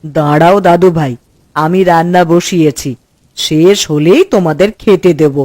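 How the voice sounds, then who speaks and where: A woman's voice: fairly low pitch, unhurried, dark tone, no echo. Woman acting a caring village grandmother. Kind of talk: Bengali moral-story cartoon dubbing.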